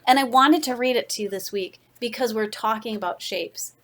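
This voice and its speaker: A woman reading a children's picture book aloud as a teacher, with deliberate, expressive emphasis. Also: on the high side. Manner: deliberate, expressive, dramatic emphasis for young listeners. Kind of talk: read-aloud children's storytelling.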